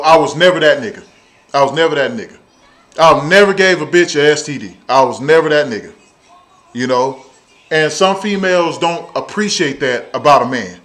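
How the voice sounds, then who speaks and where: Male vlogger, 30s, Southern US accent, high voice. Casual storytelling rant, blunt, sweary, emphatic repetition. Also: fairly bright tone. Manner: blunt, profane, emphatic, repeats phrases for effect. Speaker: video blogger.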